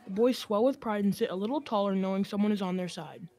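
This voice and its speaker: Mid-pitched woman narrating a radio drama. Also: fast, a little mellow.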